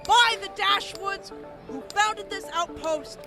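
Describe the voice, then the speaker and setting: An actor playing a composed female army major reporting on her unit, measured and earnest. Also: very high voice, music in the background. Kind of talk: audio drama acting.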